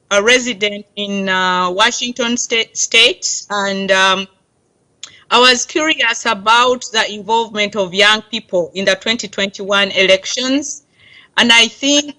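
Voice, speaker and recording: A woman's voice: mid-range voice, sharp tone, rough recording. A middle-aged woman from Uganda with a Ugandan accent, giving an earnest, rallying interview answer as a diaspora campaign volunteer.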